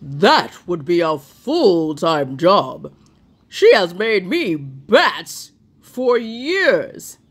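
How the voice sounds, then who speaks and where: Wry joking husband, read by a woman, slow drawn-out lines, loud and lively. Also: fairly low pitch, husky, exaggerated voice.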